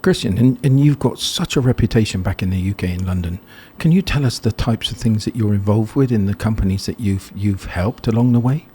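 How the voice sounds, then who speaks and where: A man's voice: fairly low pitch, fairly quick, few pauses, warm tone, slightly rough. A man hosting a podcast interview, upbeat and friendly, with playfully teasing questions. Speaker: podcast host.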